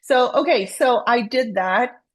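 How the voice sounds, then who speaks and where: A woman's voice: on the high side, a little slow. Chatty woman giving study tips. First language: French. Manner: chatty, encouraging, many fillers and restarts. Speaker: real estate agent. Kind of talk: casual tutorial vlog.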